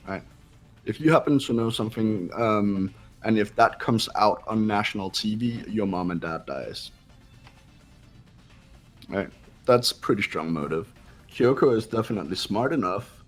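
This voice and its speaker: A man casually laying out theories to friends in a halting, explanatory way, checking in as he goes. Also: on the low side. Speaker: video game player discussing a mystery with friends.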